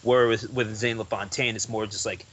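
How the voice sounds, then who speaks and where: A man's voice: fairly low pitch, level tone, moderate pace, fairly bright tone. A young man talking as a guest on a podcast, rambling loosely with lots of "like" and restarts. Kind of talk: casual interview chat.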